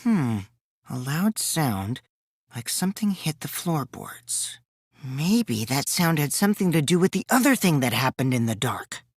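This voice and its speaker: A man's voice: fairly high pitch, big pitch swings, a little slow, dry recording, studio quality. A man voicing a mischievous, taunting teenage boy who lies with glee, in theatrical anime video game style. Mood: cold, low. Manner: theatrical, swinging from gleeful mockery to cold remarks.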